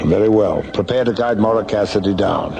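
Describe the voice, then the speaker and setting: An actor playing a theatrical, sardonic, menacing mastermind who gives grandiose commands in a science fiction radio drama. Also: male voice, on the low side, brisk, vocal fry, over a noisy crowd. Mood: commanding. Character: suave, theatrical, menacing male mastermind villain. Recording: noisy old radio drama recording.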